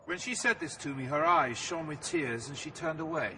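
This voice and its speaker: A man playing Virgil, a grave spirit guide, speaking with measured authority in an audio drama. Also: a little high, pushed and bright, slight background noise, poor audio. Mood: solemn, reassuring. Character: Virgil, solemn male spirit guide from Limbo.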